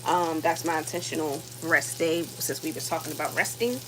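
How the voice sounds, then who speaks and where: A woman's voice: sits low, steady tone, normal speed. A woman in her thirties chatting casually to her viewers while she cooks at home, rambling with lots of fillers and sounding worn out. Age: 30s. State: tired. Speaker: vlogger. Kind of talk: casual chat while cooking. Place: home kitchen. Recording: sizzling cooking noise behind. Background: food sizzling in a pan.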